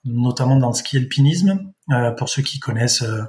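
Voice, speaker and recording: A man in his thirties from the south of France answers interview questions, often pausing and restarting his sentences. His voice sits at an ordinary middle pitch, and he speaks like a guest explaining his work. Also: animated, a little mellow. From southern France, Vaucluse. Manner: hesitant, many fillers and restarts. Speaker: guest, sports dietitian-nutritionist.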